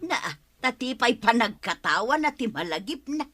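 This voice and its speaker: A woman playing an excitable, exclamatory middle-aged village auntie in a comedic radio drama, with an Ilocano accent. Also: fairly high pitch, normal speed, heavily acted. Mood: amused, curious. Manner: exclamatory, animated.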